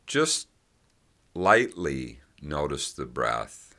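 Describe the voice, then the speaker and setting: A man leading a guided meditation with gentle, unhurried instructions and long pauses between phrases. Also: extremely low pitch, very animated.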